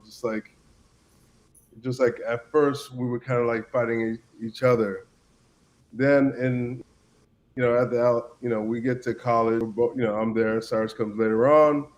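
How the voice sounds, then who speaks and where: Guest spot: easygoing man in his thirties, cameroon-born, mid-pitched voice, rambling storyteller. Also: highs cut off, slight background noise. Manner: easygoing, many "you know" fillers and restarts. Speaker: podcast guest, former player. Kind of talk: casual storytelling chat.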